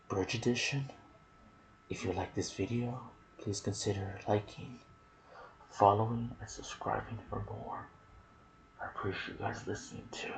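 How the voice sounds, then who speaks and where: A soft-spoken man hosting an ASMR video about game lore, with a hushed delivery that slips into whispers. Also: lowish voice, rough recording. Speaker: video host and gaming content creator.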